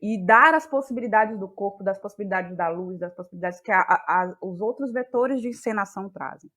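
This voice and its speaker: A woman's voice: mid-range voice, fairly quick, a bit bright. A woman, an interview guest explaining in a searching, conversational way and repeating phrases for emphasis. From Bahia, Brazil. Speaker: interview guest, playwright and actress.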